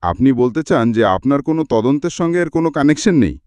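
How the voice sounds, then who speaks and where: A man's voice: mid-range voice, animated, brisk, darkish tone, clear, resonant tone, no room sound, close to an everyday voice. A man voicing an inquisitive male journalist in a story, persistent and pressing with questions. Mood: probing. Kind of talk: audiobook character dialogue.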